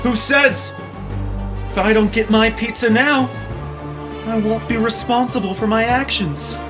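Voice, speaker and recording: Woman as a slow, menacing hungry troll. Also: on the high side, steady tone, music in the background, lightly acted. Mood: menacing, demanding. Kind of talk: amateur audio drama acting.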